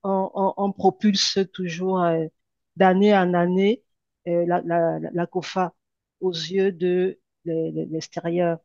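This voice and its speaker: A woman introducing herself in an online meeting, halting and repeating words. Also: on the low side, flat delivery, darkish tone, dry recording. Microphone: computer mic on video call. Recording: dull video-call audio.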